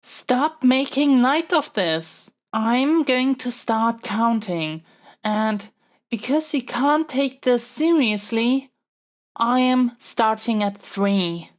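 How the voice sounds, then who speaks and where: A woman's voice: fairly high pitch, lively intonation, slow pace. A woman playing a pampered young royal heir in a scripted audio roleplay, earnest and formal, with a wordy, haughty yet flustered manner. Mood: indignant stalling.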